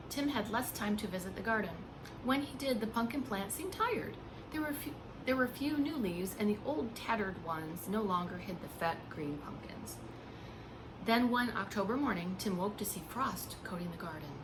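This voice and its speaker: A woman reading a picture book aloud like a preschool teacher, with expressive, hushed emphasis and simple asides to small children. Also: medium pitch, normal speed, noisy background. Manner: simple explanations and questions addressed to small children. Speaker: pre-K teacher.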